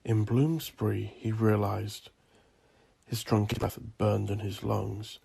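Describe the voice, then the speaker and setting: A man reading dark horror fiction as a narrator, in a brooding, ominous and theatrical manner. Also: on the low side, level tone, slow pace, vocal fry, slightly rough. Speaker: narrator of a horror audio drama. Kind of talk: dramatic gothic scripted narration.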